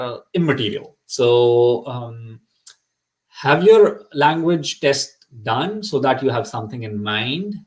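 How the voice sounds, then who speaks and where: A man's voice: mid-range voice, low-quality recording. A middle-aged man whose first language is Urdu, giving earnest advice on immigration in a live session, with many fillers and repeated words. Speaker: immigration consultant.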